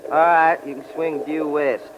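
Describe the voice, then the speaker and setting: An actor playing a menacing, commanding armed fugitive from swamp country in a folksy 1950s radio crime drama, on an old broadcast recording. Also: male voice, on the high side, fairly even intonation, normal speed, lo-fi sound, heavy background noise, close to an everyday voice. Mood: bossy, insistent.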